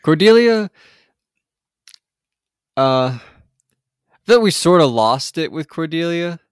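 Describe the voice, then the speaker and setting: A man's voice: on the high side, very animated, slow, clear, resonant tone, no room sound, studio quality. A man co-hosting a podcast, recapping in a loose, rambling, informal way. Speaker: podcast co-host. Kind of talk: casual film and TV recap chat.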